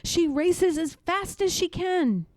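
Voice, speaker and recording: A woman reading a children's picture book aloud in a measured, dramatic narrating style. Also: very high-pitched, animated, darkish tone, dry recording, clean studio sound. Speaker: storybook reader.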